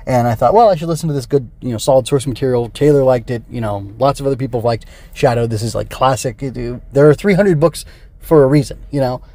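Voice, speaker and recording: A man's voice: medium pitch, quick pace, mellow, dry recording. A middle-aged man hosting a solo podcast, talking casually and rambling with digressions. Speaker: podcast host. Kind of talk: casual solo review chat.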